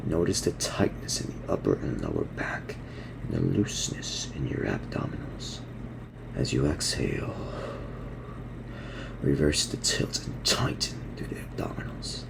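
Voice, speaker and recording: Guy doing a chill cartoon gorilla surfer, super low half-whispered voice, soothing slang, loud background noise. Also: very animated, a little slow, heavily acted. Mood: soothing, guiding. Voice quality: gravelly, breathy, half-whispered. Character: laid-back male cartoon gorilla surfer dude instructor. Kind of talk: ASMR roleplay parody.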